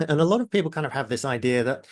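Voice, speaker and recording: A man from New Zealand with a mixed New Zealand and British accent, presenting a live online masterclass in a chatty way, often pausing on fillers and speaking to the audience in the chat. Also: mid-range voice, lively intonation, no echo, clean studio sound. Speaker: webinar presenter.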